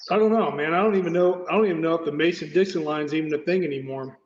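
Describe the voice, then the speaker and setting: Man, raised-pitch voice, blunt, stammering restarts, echoey muffled call audio. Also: fast talker. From Oklahoma. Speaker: panel guest. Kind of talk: casual livestream chat. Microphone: online voice call.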